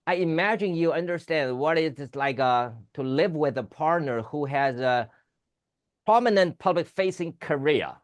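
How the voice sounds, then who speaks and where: A man's voice: a little high, animated, normal speed. Taiwanese man hosting an interview. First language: Chinese. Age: middle-aged or older. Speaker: talk show host and interviewer. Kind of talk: formal interview with light banter.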